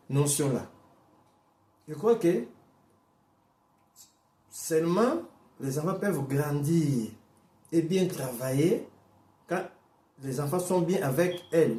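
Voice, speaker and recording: Emphatic preacher, dark echoey voice. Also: male voice, fairly high pitch. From Portuguese-speaking Africa. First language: Portuguese. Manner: emphatic, repeats and restarts phrases, shifts between slow stress and quick bursts. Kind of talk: sermon.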